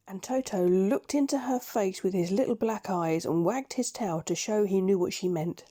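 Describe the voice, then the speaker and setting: A woman reading a children's story aloud in a measured, expressive storytelling manner. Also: mid-range voice. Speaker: storybook reader. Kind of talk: scripted children's story narration.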